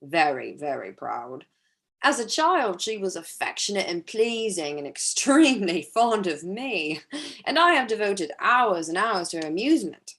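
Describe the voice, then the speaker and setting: A woman playing a charming, aggrieved young gentleman in a staged period drama reading, confiding and theatrical. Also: mid-range voice, big pitch swings, measured pace. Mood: wistful, bitter. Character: Mister Wickham, charming aggrieved young gentleman, smoothly self-pitying.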